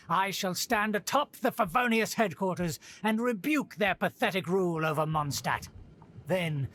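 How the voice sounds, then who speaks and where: A man's voice: high voice, fairly bright tone, slight background noise. An actor playing a haughty middle-aged nobleman in a video game, a scheming uncle with a pompous, formal, theatrical manner. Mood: smug, grandiose. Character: haughty aristocratic uncle scheming to restore his clan's rule.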